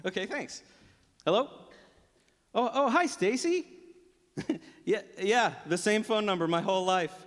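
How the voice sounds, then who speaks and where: An actor playing an awkward, dryly practical grown son in a live radio comedy, often hesitant and exasperated. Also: male voice, high-pitched, expressive, measured pace, pauses often. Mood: flustered. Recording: live stage in a reverberant hall.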